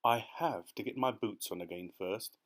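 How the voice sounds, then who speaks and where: A man's voice: fairly low pitch, moderate pace, natural voice. A man voicing a fussy, emphatic male centipede in a children's storybook. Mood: grumbling. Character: fussy, loud male centipede, obsessed with boots.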